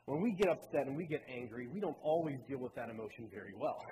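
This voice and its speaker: A man's voice: medium pitch, lively intonation, quick pace, mellow. A man in his late twenties from Baltimore, giving a personal talk to a church in an earnest, conversational storytelling way. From Baltimore, Maryland. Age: late 20s. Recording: reverberant hall with clear room noise.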